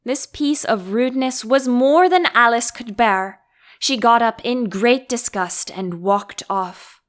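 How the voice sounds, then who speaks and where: A woman's voice: a little high, slow pace. A woman reading a storybook aloud as an audiobook narrator.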